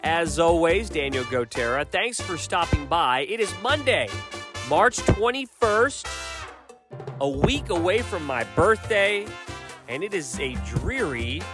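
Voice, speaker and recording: Male podcast host, upbeat and lively, light voice, music bed underneath. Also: a little high, slow pace. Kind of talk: casual podcast intro. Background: music playing.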